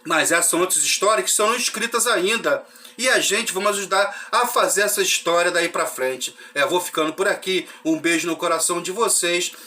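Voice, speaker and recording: A football fan channel host from Rio, talking in a high, bright voice gone hoarse from a cold. He runs through lineups and results in a loose, chatty way, often checking in with his listeners. A dry cough hangs under his voice. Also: a little fast. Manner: chatty, frequent "né?" tag questions. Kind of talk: casual match recap to fans.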